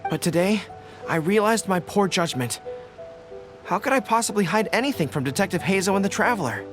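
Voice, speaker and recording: A man voicing an earnest, loyal young general in a game, polite and quick to apologize. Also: high voice, lively intonation, music in the background. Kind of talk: game voice acting.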